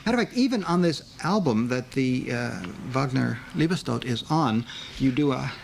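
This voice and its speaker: Gravelly-voiced man hosting a radio interview. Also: medium pitch, lively intonation. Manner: deliberate questioner, searching for phrasing. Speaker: radio host. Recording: archival radio broadcast with faint noise.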